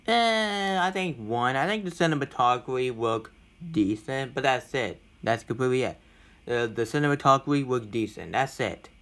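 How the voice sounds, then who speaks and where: A young man giving an informal movie rant, blunt and complaining, in a halting, repetitive way. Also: fairly bright tone. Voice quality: self-described deep voice. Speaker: movie reviewer.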